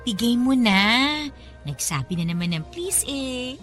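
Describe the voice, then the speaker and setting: A woman with a Filipino accent playing an excitable young student, the teasing best friend in a radio drama. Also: on the high side, unhurried, faint music behind. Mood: mock alarm. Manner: teasing, exclamatory. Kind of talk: Tagalog radio drama acting.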